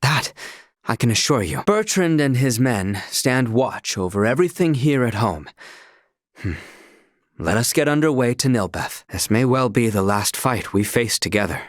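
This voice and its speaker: A man's voice: mid-range voice, expressive, normal speed, no echo, natural voice. A man playing a stoic, courtly knight. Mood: earnest. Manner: formal, courtly old-fashioned speech. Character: stoic loyal knight guarding a princess, formal. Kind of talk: video game voice lines. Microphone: close studio mic. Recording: dry studio recording.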